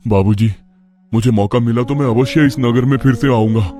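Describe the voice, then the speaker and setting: A man's voice: medium pitch, normal speed, dark tone, faint music behind, lightly acted. A man playing an earnest, kind-hearted young village merchant in a cartoon moral story, speaking sincerely and respectfully. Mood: sincere, pensive. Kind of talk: Hindi animated moral story dialogue.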